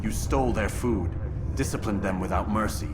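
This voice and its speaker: Guy doing a stoic game assassin, really deep voice, solemn n measured, loud noise behind. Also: lightly acted. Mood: stern, quiet. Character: stoic young male assassin, stern and moralizing. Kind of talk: video game cutscene acting. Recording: heavy background noise under game dialogue.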